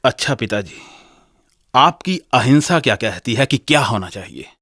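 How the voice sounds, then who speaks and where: A defiant young student. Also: male voice, medium pitch, expressive, moderate pace, a bit bright, no echo, clean studio sound. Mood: scornful, insistent. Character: defiant, hot-headed young male student, wounded and bitter. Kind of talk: radio drama acting.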